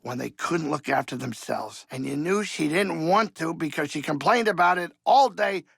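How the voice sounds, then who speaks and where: Crusty older alien saloon owner for a video game, kinda high n gravelly, folksy wisecracks, grumbly but soft-hearted. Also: male voice, expressive, normal speed, dry recording, studio quality, exaggerated voice. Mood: warm, earnest. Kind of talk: video game character dialogue.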